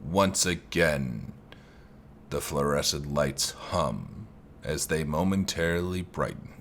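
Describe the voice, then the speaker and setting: A man narrates a horror story in a very low, gravelly voice. He reads at a crawling, deliberate pace. His tone is bright and forceful, with faint noise underneath. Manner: slow, dramatic horror reading.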